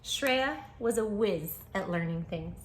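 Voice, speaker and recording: A woman's voice: medium pitch, animated, slow. A woman hosting a children's storytime, reading aloud expressively with dramatic emphasis and speaking directly to young listeners. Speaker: children's book read-aloud host. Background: music.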